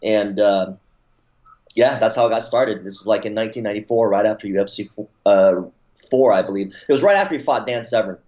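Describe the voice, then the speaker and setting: A man in his forties with a lowish voice tells his story as an interview guest, rambling, full of fillers and restarts. He turns lively when he quotes people. The audio is dull and lo-fi. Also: a little fast. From born in Taiwan, raised in the United States. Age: 40s. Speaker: interview guest, martial arts promoter. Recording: dull lo-fi sound.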